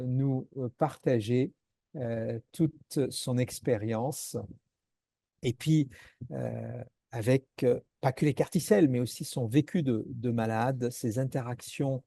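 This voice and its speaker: A man's voice: medium pitch, creaky, dark tone, dry recording, clean studio sound. A middle-aged man hosting and moderating a medical webinar, speaking with frequent hesitations and fillers. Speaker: host and moderator.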